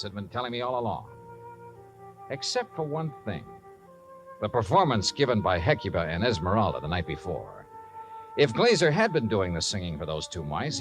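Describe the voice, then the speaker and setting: An actor playing a shrewd, wry male investigator in a 1950s radio drama, with a dry, clipped delivery. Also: mid-range voice. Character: wry, shrewd male investigator narrating his own case. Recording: 1950s radio recording with music.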